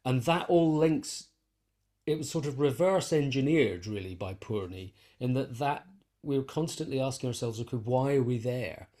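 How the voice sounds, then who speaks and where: A man speaking as an interviewed musician in a reflective conversation, thinking aloud haltingly and rephrasing as he goes. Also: medium pitch, lively intonation, dark tone. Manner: thoughtful, halting, self-correcting.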